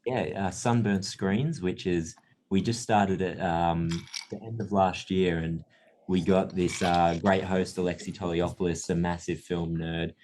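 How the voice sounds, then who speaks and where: A man being interviewed about his film company, with a very low voice. He explains at length in an easygoing, chatty way. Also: unhurried, a little hiss or hum. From Australia. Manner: relaxed, explanatory, laughs easily. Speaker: guest, film distributor marketing manager. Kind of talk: casual interview chat.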